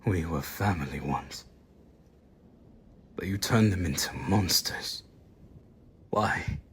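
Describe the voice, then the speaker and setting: A man playing a grim, grieving younger brother and monster hunter in a gothic audio drama, slow and solemn, with a husky, breathy voice. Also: low voice, a little hiss or hum. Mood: sorrowful.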